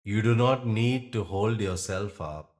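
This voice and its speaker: A man with a low-pitched voice leads a guided relaxation. He delivers each instruction gently and very slowly, leaving generous space between phrases in the manner of a yoga nidra teacher. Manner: gentle, unhurried instruction with long pauses. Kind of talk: guided meditation.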